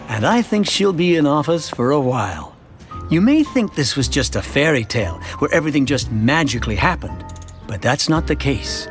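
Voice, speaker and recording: Cartoon narrator: contented male townsman, conversational first-person storytelling, very varied pitch, music underneath. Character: contented everyman townsman telling his city's story.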